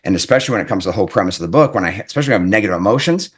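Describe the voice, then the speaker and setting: A man's voice: fairly low pitch, expressive, racing, husky. A man giving an earnest, reflective self-help talk as an author, often repeating his points and checking in with "right?". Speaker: author and life coach.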